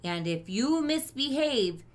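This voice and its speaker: A woman's voice: high-pitched, big pitch swings, takes it slowly, pitched above the performer's own voice, full character voice. A woman voicing an unpleasant, strict, witchy substitute teacher in a children's storybook, snapping and hissing her commands. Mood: stern, commanding. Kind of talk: children's storybook read-aloud.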